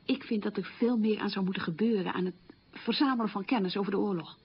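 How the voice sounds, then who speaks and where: An actress playing an opinionated, weary middle-aged schoolteacher who confides frankly in a conversational radio monologue. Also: female voice, mid-range voice, quick pace, slightly rough, muffled recording, lightly acted. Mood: indignant. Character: opinionated, weary schoolteacher mother marked by the war.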